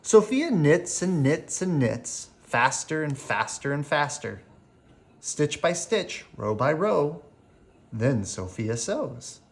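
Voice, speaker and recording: A storyteller reads a picture book aloud at a slow, careful pace. The pitch sits in a middle range and moves in lively swings, with animated phrasing made for young children at bedtime.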